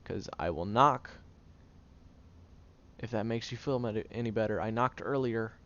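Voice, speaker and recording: A man's voice: lowish voice, a little slow, a bit bright, muffled recording. A man playing a prickly, defensive young man who is sarcastic but secretly caring, in an audio roleplay spoken to the listener. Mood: irritated, defensive. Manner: gruff, sarcastic, flustered tsundere bickering.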